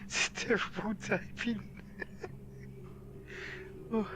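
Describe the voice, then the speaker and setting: A man's voice: high voice, fairly even intonation, drawn-out words, noisy background. A man doing casual, playful game playthrough banter, often breaking into giggles as he reads text aloud. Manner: playful, easily breaks into giggles mid-sentence. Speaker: video game streamer.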